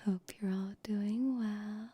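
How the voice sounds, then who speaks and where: Slow whispering young woman, husky. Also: mid-range voice, steady tone. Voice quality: soft whisper, mostly unvoiced. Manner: affectionate, teasing pet-play talk to viewers. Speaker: streamer. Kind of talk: whispered ASMR live stream chat. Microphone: shotgun microphones close to the mouth.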